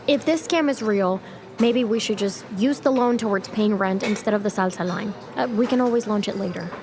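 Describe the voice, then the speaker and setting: An actress playing a sharp, skeptical young student in a naturalistic audio drama, over background noise. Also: female voice, medium pitch, fairly quick, natural voice. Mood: skeptical, agitated.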